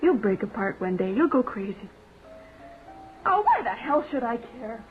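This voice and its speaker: A woman's voice: fairly high pitch, big pitch swings, normal speed, faint music behind. A woman playing a scornful, spurned young woman in a radio drama, sardonic and blunt. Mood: bitter, scornful. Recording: tinny, band-limited radio recording.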